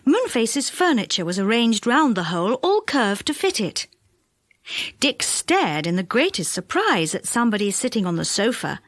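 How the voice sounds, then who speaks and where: Female children's audiobook narrator: high voice, expressive, very varied pitch, dry close sound. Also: normal speed, no room sound. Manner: expressive, animated storytelling. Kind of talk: scripted children's story narration.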